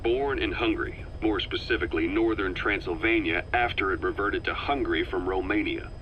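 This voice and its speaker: An actor playing a grave middle-aged intelligence operative in a video game, delivering a measured, deliberate, serious briefing. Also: male voice, low-pitched, lo-fi sound, slight background noise, lightly acted. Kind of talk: dramatic scripted briefing narration.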